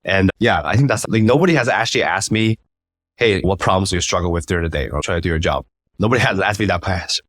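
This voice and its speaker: Deep-voiced, fast-talking man hosting an interview. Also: fairly bright tone. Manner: informal, loosely phrased, conversational. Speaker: interviewer, podcast host. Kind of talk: casual interview chat.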